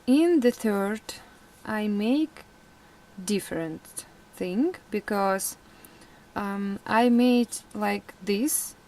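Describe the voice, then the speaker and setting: A young woman whose first language is Russian, chatting casually in a vlog, laughing often and pausing with fillers. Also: mid-range voice, dark tone, low-quality recording. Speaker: vlogger.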